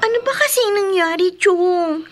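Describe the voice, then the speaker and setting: A woman's voice: extremely high pitch, expressive, moderate pace, music in the background. A woman playing an anxious young schoolgirl in a Filipino radio drama, with a Filipino accent. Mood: worried, pleading. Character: anxious schoolgirl, a minor, worried for her jailed father.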